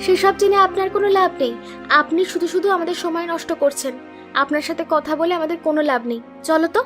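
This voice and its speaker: A woman's voice: very high-pitched, fairly even intonation, fast talker, a bit bright. A woman voicing a snappish, impatient young village wife in a cartoon, curt and scolding. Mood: irritated. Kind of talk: cartoon voice acting. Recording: music behind the voice.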